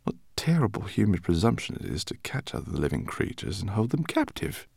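A man reading an audiobook voices a gentle, quietly amused male professor who knows about magical creatures. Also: fairly low pitch, sing-song, brisk, vocal fry, husky, no echo, studio quality, voice pushed up, heavily acted. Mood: earnest. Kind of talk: audiobook character voice.

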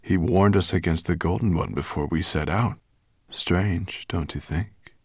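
A man's voice: sits low, unhurried, breathy edge, dry recording, studio quality, full character voice. A man voicing a grave, thoughtful male dragon in an audiobook, with a murmuring manner. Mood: pensive. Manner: murmuring, pensive. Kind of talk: audiobook character acting.

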